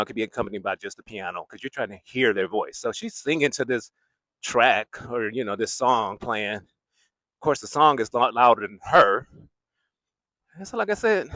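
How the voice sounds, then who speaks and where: A man's voice: mid-range voice, a bit bright, dry recording. A young man talking casually as he walks a city street, rambling and laughing often, holding a handheld mic. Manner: rambling, laughs often, loops back to his point. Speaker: vlogger walking the street. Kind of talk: casual walking vlog commentary. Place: outdoors on a city boulevard.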